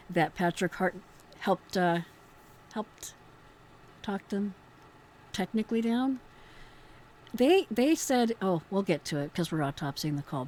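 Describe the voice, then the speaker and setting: A woman's voice: fairly low pitch, a little mellow. A woman giving informal, explanatory and admiring commentary as a host who worked as an emergency dispatcher. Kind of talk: informal commentary on a recorded call.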